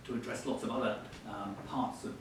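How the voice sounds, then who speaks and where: A man's voice: fairly even intonation, a little fast. A man acting as interviewer in a literary interview before an audience, putting his questions in a hesitant, roundabout way. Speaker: interviewer at a book event. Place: echoey hall at a live book event. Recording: very echoey, distant mic, faint rumbling noise.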